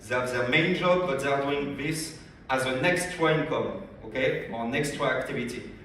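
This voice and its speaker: A man from France with a strong French accent gives a lively business training talk at a convention, often asking the audience questions. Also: medium pitch, moderate pace, a little hiss or hum. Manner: energetic, addresses audience directly with questions. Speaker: business development director. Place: large convention hall. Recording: echoey hall, speaker far from mic.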